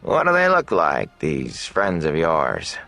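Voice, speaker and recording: A man voicing a chatty, excitable middle-aged innkeeper with folksy, gossipy talk in a fantasy audiobook. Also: rumbling low, slow, vocal fry, faint music behind, full character voice. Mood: wary. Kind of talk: fantasy audiobook character dialogue.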